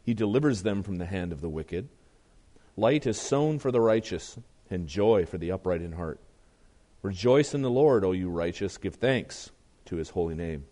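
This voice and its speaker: Deep-voiced pastor, strained voice. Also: male voice, lively intonation, normal speed, mellow. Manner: earnest, reads scripture with rising emphasis. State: voice strained, deeper than usual. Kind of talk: sermon.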